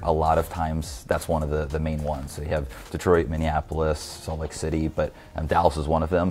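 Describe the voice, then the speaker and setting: A man in his thirties, a guest on a video call, explaining in a measured casual way with many fillers. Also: rumbling low, a little mellow. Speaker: guest commentator. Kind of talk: casual interview talk. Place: home room on video call.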